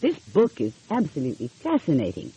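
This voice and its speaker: A woman's voice: low-pitched, wildly swooping pitch, a little fast, dark tone, slightly rough, heavily acted, poor audio. A woman voicing an eager, polite young female detective in an audiobook mystery. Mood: enthusiastic.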